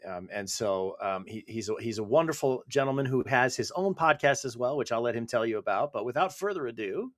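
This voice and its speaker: A man's voice: mid-range voice, expressive, fairly quick, hardly any pauses, dry recording, studio quality. A man hosting a podcast, speaking in a casual, conversational and polite way as he introduces and interviews a guest. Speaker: podcast host.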